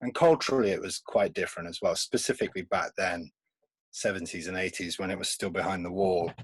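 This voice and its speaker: Man, 50s, husky low voice, dry close sound, rambling and laughing. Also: steady tone, fairly quick, dry recording. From England. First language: Polish. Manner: rambling, laughs often between thoughts. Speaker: comics artist guest. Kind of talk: casual remote podcast interview.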